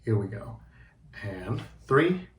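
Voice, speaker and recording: An encouraging, expressive man teaching a children's drama lesson and narrating a storybook. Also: fairly low pitch, takes it slowly, slight background noise.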